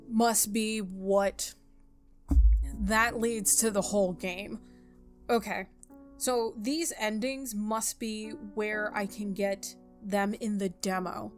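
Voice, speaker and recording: Woman playing a game over music. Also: mid-range voice. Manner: informal, expressive, thinking aloud. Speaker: gaming video host. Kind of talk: visual novel playthrough, reading aloud and commenting.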